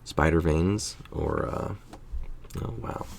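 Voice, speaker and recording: A man's voice: a bass voice, slow pace, gravelly, slight background noise. A tired middle-aged man reading an article aloud, informal, with casual asides. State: tired late at night. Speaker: article reader.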